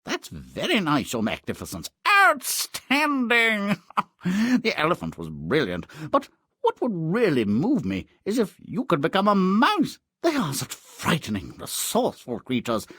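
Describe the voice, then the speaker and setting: A sly servant cat from a fairy tale game. The voice swoops extravagantly from purr to flourish, all oily courtesy with mockery underneath, in a dry, close recording. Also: male voice, high voice, wildly swooping pitch, a little slow, sharp tone, slightly rough, no room sound, exaggerated voice. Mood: oily flattery. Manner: oily mock politeness, purring insinuation. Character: sly, flattering, mocking trickster cat servant. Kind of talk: theatrical video game fairy tale acting.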